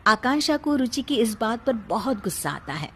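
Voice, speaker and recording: A woman's voice: a little high, big pitch swings, normal speed, very noisy. A woman narrating a scripted cartoon family story in the role of storyteller.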